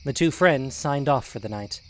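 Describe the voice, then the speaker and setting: A man's voice: mid-range voice, expressive, moderate pace, a bit bright, slight background noise. A man narrating a scripted audio drama as its storyteller.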